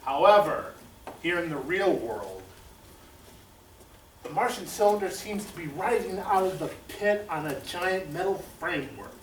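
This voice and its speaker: A man's voice: sits high, lively intonation, measured pace. A man playing a wry, busy 1930s radio scriptwriter in a stage drama. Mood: wry, musing. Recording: echoey stage, far mic, faint noise.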